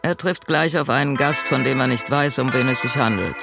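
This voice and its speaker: A man's voice: mid-range voice, a little fast, gravelly. A man reading a scripted, dryly wry show intro. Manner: dry, wry announcing. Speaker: announcer. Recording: voice-over with music bed.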